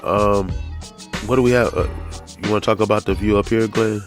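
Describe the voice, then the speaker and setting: Stuffy, gravelly low-voiced man. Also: fairly even intonation, brisk, music in the background. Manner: rambling, trails off with fillers. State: stuffy from allergies. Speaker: podcast host. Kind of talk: casual chat.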